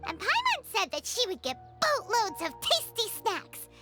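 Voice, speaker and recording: A woman voicing a small, chirpy, bossy fairy companion with a squeaky childlike voice in a video game. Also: huge pitch swings, measured pace, exaggerated voice. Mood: cheerful, proud. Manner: refers to herself in third person, perky. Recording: loud game music behind.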